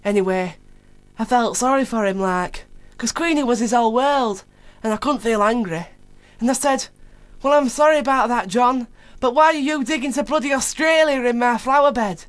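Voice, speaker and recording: A woman's voice: a little high, moderate pace, close to an everyday voice. A woman playing a gossipy, blunt but sympathetic working-class neighbour in a radio play, chatting colloquially. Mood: rueful.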